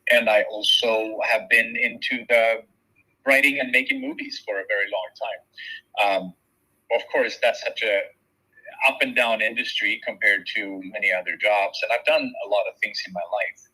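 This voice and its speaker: A man in his forties from Sweden with a Swedish accent, a shaman and Reiki practitioner talking reflectively as an interview guest, softly and with many pauses. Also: fairly low pitch, steady tone, breathy edge, low-quality recording. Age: 40s. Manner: soft-spoken, searching for words, many pauses.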